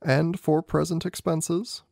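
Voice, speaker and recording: A man voicing a keen, unflappable gentleman detective in a storybook reading, with brisk, inquisitive, clipped questions. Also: a little high, flat delivery, gravelly, dry recording, clean studio sound, full character voice. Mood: brisk, courteous. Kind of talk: amateur audiobook character reading.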